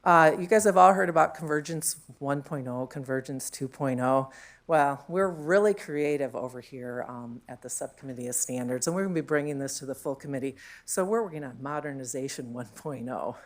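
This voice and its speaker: Low-voiced woman speaking on a conference panel. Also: a little fast. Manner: chatty, many fillers and tag questions. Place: conference room. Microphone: handheld mic.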